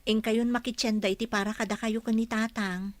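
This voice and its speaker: A woman's voice: fairly high pitch, flat delivery, a little fast, no room sound, close to an everyday voice. A woman with an Ilocano accent acting a caring, dutiful young wife in a radio drama. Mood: respectful, kindly.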